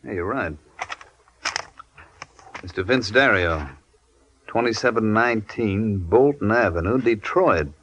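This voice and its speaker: An actor playing a suspicious, scheming American crook, hard-bitten and accusing, in 1940s radio style. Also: male voice, fairly low pitch, expressive, a little slow, pauses often, fairly bright tone, lo-fi sound, a little hiss or hum. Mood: grimly suspicious. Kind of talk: 1940s radio crime drama acting.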